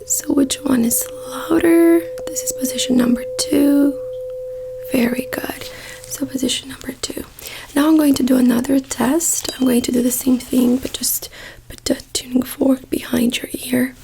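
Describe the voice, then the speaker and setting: A woman doing a soft-spoken ASMR doctor roleplay in a breathy whisper, gently giving reassuring instructions close to the mic. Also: high-pitched, fairly even intonation, measured pace, noisy background. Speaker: ASMR performer playing a doctor.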